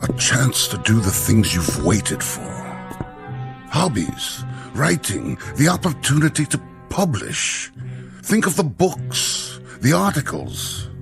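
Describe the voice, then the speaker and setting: An actor playing an uneasy, tactful school headmaster, speaking formally and diplomatically with some hesitation in a vintage television drama. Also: male voice, mid-range voice, big pitch swings, takes it slowly, gravelly, over music, heavily acted. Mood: placating.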